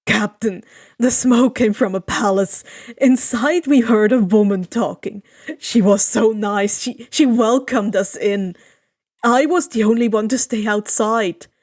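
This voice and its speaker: Woman doing an earnest sailor guy, urgent n pushy, slow careful story delivery. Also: fairly high pitch, a little mellow, no echo, clean studio sound. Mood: shaken, urgent. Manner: urgent, insistent. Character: earnest, insistent male second-in-command sailor. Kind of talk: fan fiction character reading.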